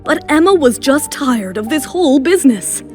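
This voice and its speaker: A woman's voice: high-pitched, expressive, moderate pace. A woman reading dramatic scripted narration as the narrator of an audio drama. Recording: music behind the voice.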